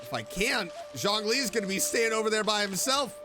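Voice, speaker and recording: A man with a high voice commentates casually on a video game in an excitable, chatty way. His pitch swings widely while his delivery stays unhurried and slow. Game music plays behind him. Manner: excitable, chatty, talks to self and game character. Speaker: streamer, video game let's player.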